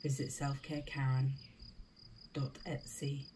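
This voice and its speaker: A woman leading a scripted guided meditation in a soothing, deliberate manner, with short spaced phrases. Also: a bass voice, level tone, noisy background.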